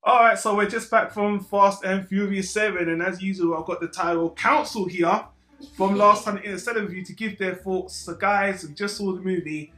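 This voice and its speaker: A man's voice: sits high, steady tone, moderate pace, pushed and bright. A man hosting a casual group film discussion. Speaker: host of a group film review. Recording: roomy echo, music behind.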